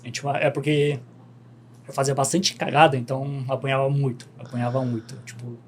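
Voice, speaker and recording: A young man, a podcast guest telling stories in relaxed, slangy chat. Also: medium pitch, a little fast, slight background noise. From Brazil. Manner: laid-back storytelling, slangy, animated.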